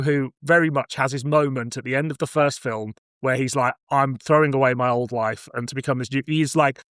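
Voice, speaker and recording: A man's voice: mid-range voice, no room sound. A man with a mid-range voice hosts a casual discussion. He is blunt and happy to swear, often slipping into little quoted thoughts to make his point. The sound is dry, with little room around it. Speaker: podcast host. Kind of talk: casual podcast chat about cult sci-fi.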